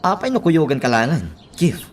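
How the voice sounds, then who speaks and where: A man's voice: fairly high pitch, big pitch swings, normal speed, slight background noise. A man with an Ilocano Filipino accent playing an eager, questioning junior police officer in a radio drama. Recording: light music bed behind the voice.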